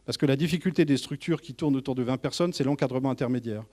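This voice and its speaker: Halting male presenter, mellow voice. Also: medium pitch. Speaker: tourist office manager presenting. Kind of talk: informal professional presentation to colleagues.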